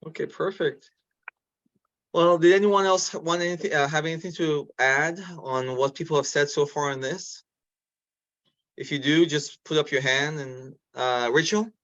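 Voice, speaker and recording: A man's voice: fairly high pitch, steady tone, moderate pace, a bit bright, dry recording. Man moderating, dull dry line. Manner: polite, prompting questions. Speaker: discussion facilitator. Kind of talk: moderating a meeting.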